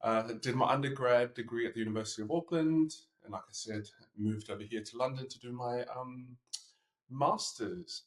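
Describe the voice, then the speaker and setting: A man's voice: mid-range voice, moderate pace. A man with a New Zealand accent, an opera singer, chatting playfully as a podcast guest. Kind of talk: casual interview chat. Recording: roomy echo.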